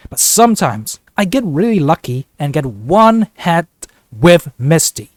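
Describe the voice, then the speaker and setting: Man, flu-congested voice, slightly high, upbeat chatty gaming commentary, dry close mic. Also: very animated, measured pace, dry recording. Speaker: gaming YouTuber. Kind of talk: casual gameplay commentary.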